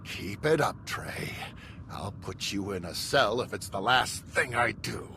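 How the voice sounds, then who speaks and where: A man's voice: lowish voice, animated, measured pace, vocal fry, pushed and bright, a little hiss or hum, full character voice. An actor playing an exasperated, contemptuous male Republic official. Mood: threatening. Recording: game cutscene with music behind.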